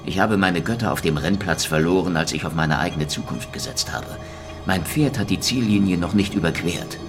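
A man playing a low, cynical Soviet detective. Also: normal speed, over music, lightly acted. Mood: sardonic. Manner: dry, sardonic, probing. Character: hardboiled cynical male Soviet secret-police detective. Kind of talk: radio drama acting.

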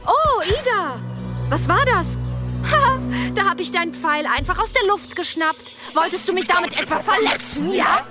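A woman's voice: very high-pitched, animated, moderate pace. A woman playing a cruel, deceitful shapeshifting demon beast in an audio drama, mocking and menacing. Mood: mocking, gleeful. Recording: loud music under the voice.

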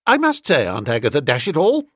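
A male reader plays a young English toff, foppish and breezy, in a comic storybook. His posh British voice rides high and leaps about with blustering emphasis, close and dry. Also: wildly swooping pitch, normal speed, dry recording, heavily acted. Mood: stern, indignant. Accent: British upper-class.

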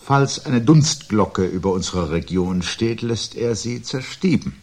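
An actor playing a brooding, dryly ironic man in a literary radio play, speaking formal, measured lines with a husky voice. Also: on the low side, lively intonation, a little mellow. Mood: brooding, resigned. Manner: measured, dry, articulate, formal. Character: brooding, dryly ironic man awaiting looming catastrophe at home.

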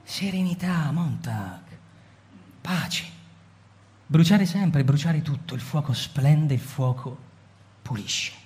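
A slick fire captain, kinda high voice, lecturing hard, big reverb, music underneath. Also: male voice, lively intonation, slow pace, long pauses. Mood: persuasive. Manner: smooth, insistent, hushed at times. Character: cold persuasive male fire captain lecturing a subordinate. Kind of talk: radio drama acting.

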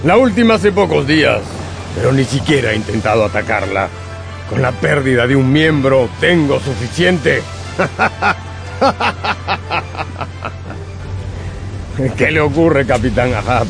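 An actor playing a cautious one-armed English sea captain in a radio play. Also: male voice, mid-range voice, very animated, takes it slowly, creaky, heavily acted. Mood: wary. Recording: radio drama over music.

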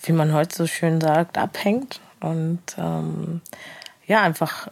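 Low-voiced woman in a quiet audio diary. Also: steady tone, slow, gravelly. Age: 30s. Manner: quiet, partly whispered, casual. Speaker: participant trying a self-help book. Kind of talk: self-recorded audio diary.

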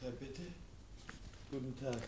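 An actor playing a frightened, childlike foundling youth in a German radio play of the 1950s, speaking in halting, broken phrases. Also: male voice, monotone, natural voice. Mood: timid, defensive. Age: young adult. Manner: halting, broken simple sentences. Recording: noisy old 1950 radio recording, rumble.

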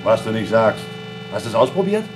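A man's voice: on the low side, sing-song, a little fast, music in the background. A man playing a dutiful, sober astronaut on a moon base in a radio play, speaking in a matter-of-fact, wry manner.

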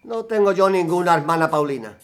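A man playing a gruff, contemptuous nationalist husband in a wartime radio drama. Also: sits high, lively intonation, a little fast. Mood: scornful. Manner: grumbling, contemptuous.

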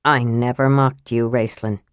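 A woman reading an audiobook, voicing an honorable young male knight who speaks quietly and gravely, in a measured way. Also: a bass voice, no room sound, studio quality, voice pushed down. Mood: quiet, reproachful. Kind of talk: audiobook character voice.

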